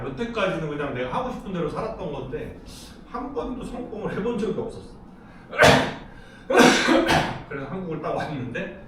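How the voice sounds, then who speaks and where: A man's voice: on the high side, recorded from a distance, noisy background. A middle-aged man from Seoul giving a casual, chatty lecture, telling stories with frequent laughs. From Seoul, South Korea. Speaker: lecture speaker. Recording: echoey hall, speaker off-mic.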